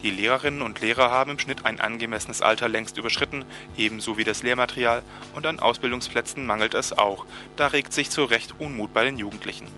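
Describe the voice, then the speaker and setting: Male radio presenter, bright voice over music. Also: medium pitch, fast talker, hardly any pauses. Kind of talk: scripted narration introducing a report. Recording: music behind the voice.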